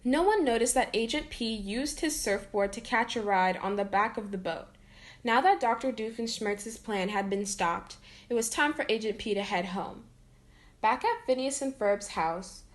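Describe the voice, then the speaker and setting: A woman reading a children's story aloud in an expressive way, acting out the dialogue. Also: a little high, normal speed. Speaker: storybook reader.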